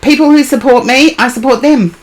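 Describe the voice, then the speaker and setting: A hoarse woman, chatting casually on a live stream as a tarot reader, greeting and answering viewers by name. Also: high-pitched, fairly quick.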